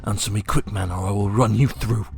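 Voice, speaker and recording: A man's voice: fairly low pitch, fairly even intonation, fairly quick, vocal fry, darkish tone. A man playing a stern, threatening medieval rider with an archaic, challenging way of speaking, in a comic dub.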